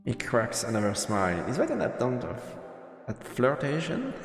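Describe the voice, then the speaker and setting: A man streaming a visual novel, reading the narration aloud in an expressive storyteller manner with asides. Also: medium pitch, moderate pace. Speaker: streamer, let's player. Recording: echoey reverberant room, music behind. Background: game music.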